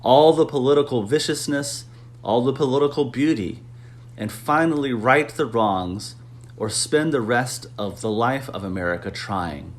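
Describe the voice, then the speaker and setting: A man reading an essay aloud in a steady, solemn way. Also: medium pitch, moderate pace. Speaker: reader.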